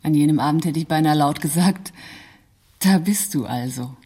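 An actress playing a wry, world-weary smuggler's lover in a radio drama, speaking in a dry, sardonic way. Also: female voice, deep voice, moderate pace, warm tone, breathy edge. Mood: reflective, wry. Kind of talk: German radio drama dialogue.

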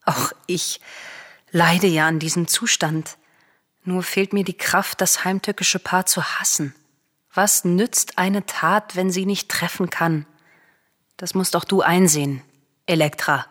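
A pragmatic, submissive sister from Greek tragedy, in a lowish voice. She reasons and admonishes urgently, as one who bows to the powerful in a radio drama. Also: fairly even intonation, slow pace, a bit bright. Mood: reproachful, resigned. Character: Chrysothemis, cautious, submissive princess, pragmatic pleading sister.

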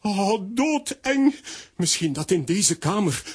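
An actor the playing a boastful, excitable, cowardly comic man who stammers when flustered, in a children's audio drama. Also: high-pitched, takes it slowly, slightly rough, full character voice. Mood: frightened.

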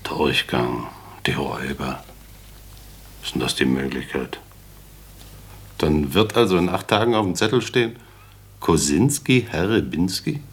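A very deep-voiced, staid young lodger. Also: male voice, animated, unhurried, pauses often. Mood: sceptical, bemused. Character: staid, sceptical young student lodger, easygoing and courteous. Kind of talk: German radio play acting.

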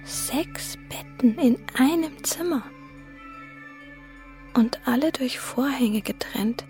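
Weary young woman, radio drama, higher voice, half-whispered inner monologue, faint music behind. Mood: hushed dismay. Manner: hushed, slow, breathy delivery. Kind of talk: German radio play, intimate inner monologue.

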